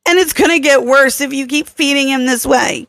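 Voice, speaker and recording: A woman's voice: high-pitched, moderate pace, fairly bright tone, a little hiss or hum, voice pushed up. A woman voicing an urgent, defiant young woman animal expert who pleads and scolds emphatically in a dramatic story reading. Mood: insistent, exasperated.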